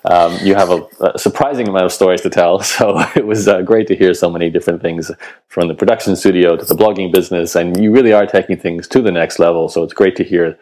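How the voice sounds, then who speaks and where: A man with a notably deep voice hosts a podcast interview. He was raised in Australia but carries a Canadian-tinged accent. He talks in a relaxed, thoughtful way, pausing on small fillers. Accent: Canadian-sounding Australian. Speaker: podcast host. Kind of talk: casual interview wrap-up.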